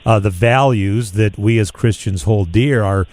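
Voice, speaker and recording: A man's voice: fairly low pitch, measured pace, a little mellow. A man with an American accent, hosting a conversational radio interview in a friendly, appreciative manner. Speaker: talk radio host.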